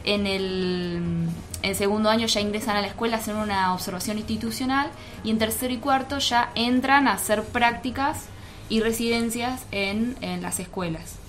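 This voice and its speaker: A woman's voice: mid-range voice, moderate pace, a bit bright, faint music behind. A woman with an Argentine accent giving an informal, explanatory account in a radio interview. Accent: Argentine Spanish. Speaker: guest, teaching practice coordinator.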